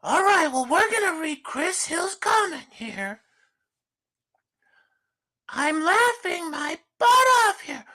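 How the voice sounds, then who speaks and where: Man putting on a squeaky high fake lady host, slow, bright, fawning, says "well" a lot. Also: big pitch swings, exaggerated voice. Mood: gushing. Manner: falsetto, starts lines with "well", fawning. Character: shrill fake woman hostess, gushing. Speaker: livestream host. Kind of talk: comedic livestream character bit.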